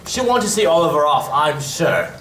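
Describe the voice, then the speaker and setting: A man's voice: fairly high pitch, sing-song, normal speed, fairly bright tone. A man playing the scheming master of a thieves' gang in a staged radio play, sly and coaxing. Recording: echoey, noisy stage recording from far off.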